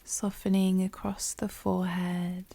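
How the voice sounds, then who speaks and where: A woman leading a guided yoga nidra meditation, speaking softly and soothingly with a breathy voice that often drops near a whisper. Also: fairly low pitch, completely flat delivery, dry recording. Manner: soothing, gentle, unhurried pauses.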